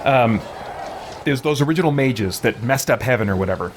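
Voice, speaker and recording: A man's voice: mid-range voice, expressive, fairly quick, background noise. A man giving an informal, rambling explanation to a live stream chat, digressing as he goes. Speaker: game livestreamer.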